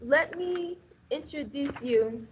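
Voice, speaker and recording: A woman's voice: high-pitched, lively intonation, slow pace. A woman playing a gangster showing off the crew in a comic radio play. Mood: showy. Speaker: school radio drama actor. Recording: distant, dull, faintly noisy audio.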